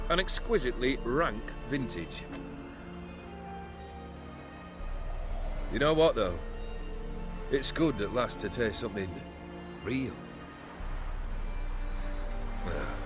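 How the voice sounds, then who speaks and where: An actor playing a weary, remorseful fallen nobleman with wry wit in a video game, speaking in a measured, theatrical, eloquent way. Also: medium pitch. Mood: wry, relieved. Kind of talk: dramatic video game acting.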